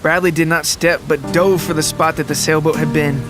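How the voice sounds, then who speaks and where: A man reading a scripted literary story as a dramatic narrator, with deliberate, descriptive phrasing. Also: a little high, steady tone. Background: music and rain sounds.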